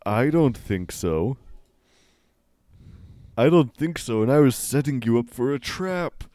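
A man bantering in deadpan, mock-serious comic style as a guest. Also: mid-range voice, slow, dry recording, clean studio sound. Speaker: comedy musician guest. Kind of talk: absurd comedic banter.

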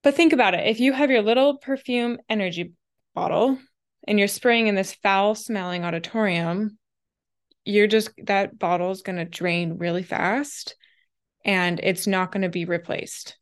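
A young woman in her twenties hosting her own podcast in a casual, chatty solo talk, her voice a little raspy and winded after a run, with lots of "like" fillers. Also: mid-range voice, unhurried. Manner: chatty, filler-heavy with frequent "like". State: slightly raspy, winded from running. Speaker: podcast host.

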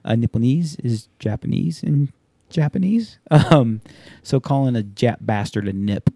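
Man, 30s, podcast host; casual, profane, animated storyteller; mellow tone, dry close recording. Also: mid-range voice, measured pace, gravelly, no echo. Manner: loose, profane, animated storyteller acting out quotes. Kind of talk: casual chat.

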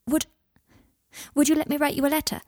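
A woman voicing an earnest, polite young wizard boy in an audiobook, breathy and eager. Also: sits high, level tone, brisk, mellow, no echo, studio quality, voice pushed up. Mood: shy, pleading. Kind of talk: audiobook character voice.

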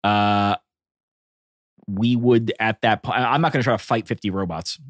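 Low-voiced man playing a tabletop game. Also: no echo, studio quality. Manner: halting, thinking out loud. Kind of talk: casual improvised table chat.

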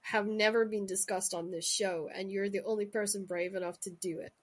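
A woman's voice: medium pitch, fairly even intonation, slightly rough. A woman with a Scottish accent hosting a podcast interview, chatty and teasing as she calls her guest out. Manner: teasing, chatty, quick to call people out. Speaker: podcast host. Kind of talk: casual conversational interview.

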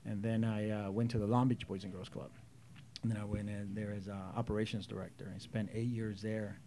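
A man's voice: lowish voice, flat delivery, brisk, warm tone, slight background noise. A man in his forties from El Salvador, a youth club director, telling his story earnestly as an interview guest. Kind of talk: casual interview talk.

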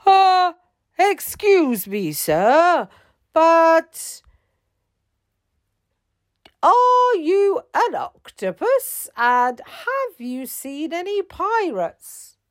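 A woman voicing a brave, polite male submarine captain in a children's story, speaking in a steady, raised voice. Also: very high voice, extremely slow, pauses often, no room sound, clean studio sound, voice pushed up, exaggerated voice.

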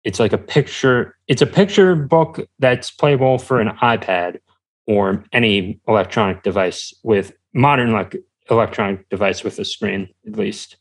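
A young man answering as an interview guest, talking casually in a hesitant, halting way. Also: on the low side.

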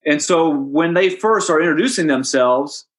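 Man, hockey announcer, fairly high voice, relaxed interview talk, chatty storyteller. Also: normal speed. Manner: chatty storyteller, amused, with restarts and asides. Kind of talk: relaxed interview answers, anecdotal.

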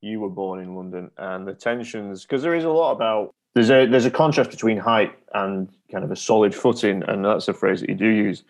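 A man's voice: fairly low pitch, fairly even intonation, brisk, few pauses. A man working as an interviewer in a literary conversation, putting long, discursive, reflective questions.

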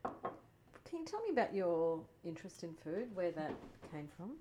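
Woman, lower register, friendly curious interviewer. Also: big pitch swings, unhurried, warm tone, slight background noise. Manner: curious, chatty, lots of fillers. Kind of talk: casual interview questions.

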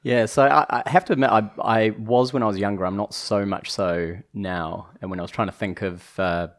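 A man in his thirties with a New Zealand accent, chatting as a podcast guest, often restarting and pausing with fillers. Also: lowish voice, fairly even intonation. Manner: hesitant, many restarts and fillers. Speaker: podcast guest, chief commercial officer. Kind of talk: casual podcast conversation.

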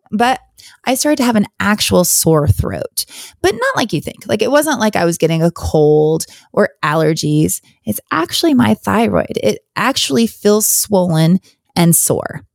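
A hoarse woman hosting a podcast, talking in a candid, chatty, rambling way on her own. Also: mid-range voice, very animated, a little slow, dry recording, clean studio sound. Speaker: podcast host. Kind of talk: casual solo podcast chat.

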